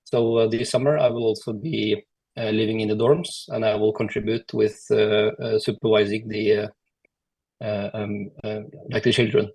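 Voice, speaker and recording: A man in his twenties introducing himself on a video call, very hesitant and halting. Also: on the low side, flat delivery, creaky, a little mellow, husky, no echo, poor audio. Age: 20s. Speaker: software engineer, youth volunteer. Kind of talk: hesitant self-introduction in an online meeting.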